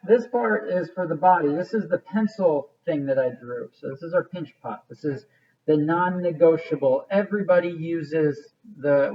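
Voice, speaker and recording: A man's voice: a little high, slightly rough, dull audio. A man teaching a hands-on craft demonstration in a casual, informal way, thinking aloud as he works. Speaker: art teacher.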